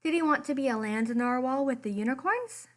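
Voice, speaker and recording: A woman reading a children's picture book aloud as expressive storytime narration. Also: high voice, brisk.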